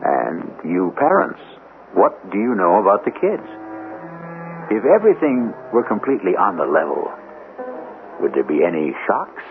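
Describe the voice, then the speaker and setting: A man hosting and narrating a 1970s radio drama in a theatrical, deliberate, rhetorical manner. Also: deep voice, very animated, soft background music. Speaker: host and narrator. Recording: muffled old radio broadcast, clear hiss.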